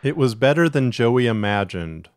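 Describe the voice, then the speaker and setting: A man's voice: medium pitch, lively intonation, moderate pace, dry recording, studio quality. A man reading scripted narration as the host and storyteller of a fiction podcast.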